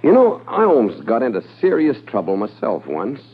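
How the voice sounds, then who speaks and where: Chatty trusting dealer, husky voice, folksy, muffled 50s radio drama. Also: male voice, medium pitch, wildly swooping pitch, moderate pace. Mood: chatty, amused. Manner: folksy, talkative, frequent chuckles. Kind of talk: 1950s radio drama acting. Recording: dull, muffled old radio recording.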